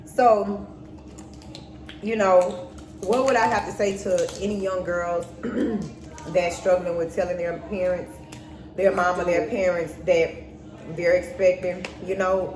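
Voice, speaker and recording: A woman's voice: fairly low pitch, darkish tone. A middle-aged woman telling a personal story casually and candidly, acting out remembered conversations as she goes. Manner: candid, acts out remembered dialogue. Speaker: mother co-hosting a storytime vlog with her daughter. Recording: roomy echo, faint background noise.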